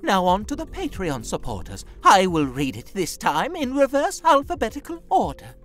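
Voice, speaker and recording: A woman's voice: mid-range voice, huge pitch swings, normal speed, soft background music. A woman playing a sweet, whimsical, chatty mobster's sister in a cartoon audio drama. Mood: whimsical, friendly.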